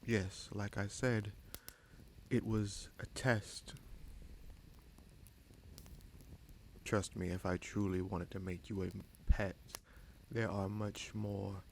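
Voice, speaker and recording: Casting: male actor for a frank, wry bastard prince, fantasy audio roleplay. Lowish, creaky voice, musing delivery with long pauses, slight background noise. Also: steady tone, low-quality recording. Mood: firm, sincere. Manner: measured, musing, pausing often mid-sentence. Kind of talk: fantasy audio roleplay, slow intimate monologue.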